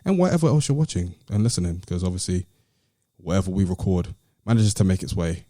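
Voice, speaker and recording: A British man speaks quickly in a mellow, dark tone, with a very dry sound. He hosts a casual podcast and banters in an animated, teasing way. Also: low-pitched, no room sound. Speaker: podcast host. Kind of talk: casual banter among friends.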